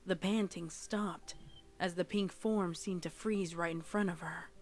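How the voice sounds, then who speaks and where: Lowish-voiced woman narrating dark fiction. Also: steady tone, a little slow, over music. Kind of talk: dramatic scripted horror story narration.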